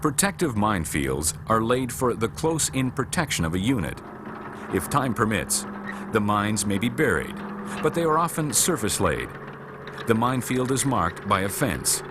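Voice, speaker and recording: A man reading scripted instructional narration for a military training film in a measured, formal, matter-of-fact way. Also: fairly low pitch, big pitch swings, noisy background.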